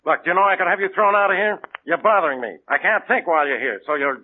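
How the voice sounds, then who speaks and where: An actor playing an exasperated male police inspector who grumbles in deadpan sarcasm, in 1940s radio acting. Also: sits high, fast, a little hiss or hum, natural voice. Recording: tinny phone-like old radio recording.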